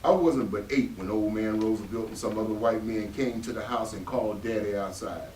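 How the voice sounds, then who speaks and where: Guy acting proud gruff southern dad in a stage play, old hurt in him, echoey and far from the mic. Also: lowish voice, fairly quick, darkish tone, slight background noise, natural voice. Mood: bitter, grave. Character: proud working-class father, gruff, carrying old grief. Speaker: actor in readers theatre.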